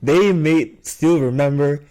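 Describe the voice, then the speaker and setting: A man's voice: fairly high pitch, slow pace, dark tone, poor audio. Casual man hosting a podcast. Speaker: podcast host. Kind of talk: casual chat.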